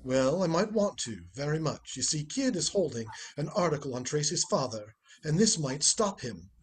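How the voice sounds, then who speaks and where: A man's voice: a little high, sing-song, moderate pace, slight background noise. A man playing a wry, urbane, unruffled ex-husband in a radio play, speaking with dry politeness. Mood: urgent, scheming. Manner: dry, polite, wryly amused.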